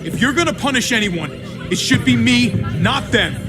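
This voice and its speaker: A man's voice: very high voice, animated, moderate pace, music in the background, crowd noise. An actor playing a defiant, protective man in a video game drama, earnest and urgent. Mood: pleading, firm.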